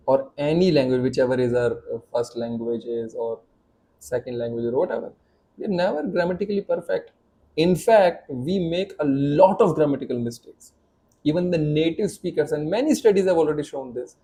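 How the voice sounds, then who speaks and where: A man's voice: on the high side, dark tone. A man in his thirties with an Indian accent, a native Hindi speaker, giving an emphatic spoken English lesson to camera, repeating and restarting phrases as he pushes his point.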